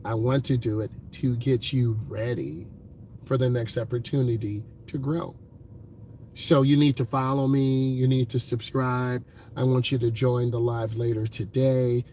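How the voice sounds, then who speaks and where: A driving instructor speaks from inside his car, earnest and encouraging, stacking up repeated points with plenty of "uh"s. His voice sits at an ordinary middle pitch. Faint road ambience hums behind him. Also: steady tone, unhurried, dark tone, slight background noise. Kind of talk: vlog talking from a car.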